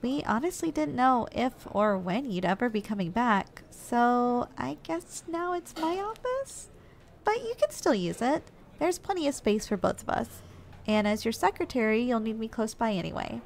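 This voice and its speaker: A woman playing a doting, gentle giantess secretary in an ASMR roleplay, speaking in a caring, softly teasing, slightly hesitant way. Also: a little high, creaky. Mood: apologetic, gentle, then fond, reassuring.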